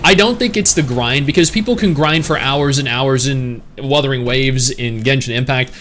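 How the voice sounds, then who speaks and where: A man's voice: medium pitch, normal speed, a bit bright, a little hiss or hum. A man streaming, chatting casually and opinionated as he reads and answers his live chat.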